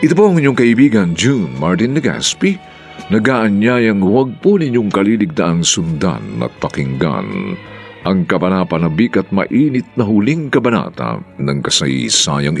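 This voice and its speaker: A man's voice: low voice, very animated, a little slow, creaky. A man with a Filipino accent announcing a radio drama's sign-off in a dramatic, theatrical style. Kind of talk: dramatic scripted sign-off narration. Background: faint music.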